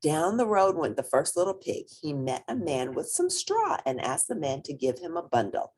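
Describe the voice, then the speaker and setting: A woman reading a children's story aloud expressively, with drawn-out emphasis and playful asides. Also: low voice, sing-song, darkish tone, breathy edge.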